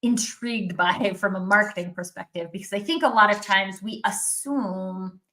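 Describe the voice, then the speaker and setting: A woman's voice: medium pitch, moderate pace, bright, forceful tone, a little hiss or hum. A woman hosting an interview show, enthusiastic and talking through her ideas at length. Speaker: podcast host. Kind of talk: interview conversation.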